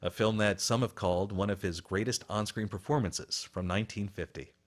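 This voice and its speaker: A man's voice: lowish voice, a little fast, slight background noise. A man hosting a radio show with polished, scripted documentary-style narration.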